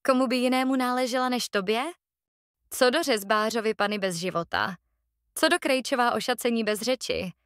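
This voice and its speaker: A woman's voice: fairly high pitch, fairly bright tone, smooth, no echo, studio quality, lightly acted. A woman voicing a decisive young princess in a storybook fairy tale. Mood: earnest, decisive. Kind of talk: storybook voice acting.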